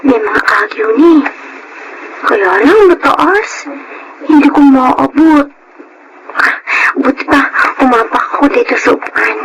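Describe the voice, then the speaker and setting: A woman's voice: high voice, animated, slow pace, pauses often, muffled recording, full character voice. A woman playing a dutiful, eager-to-please adopted orphan girl in a Filipino radio drama, speaking politely and deferentially. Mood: busy, determined. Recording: noisy radio drama track.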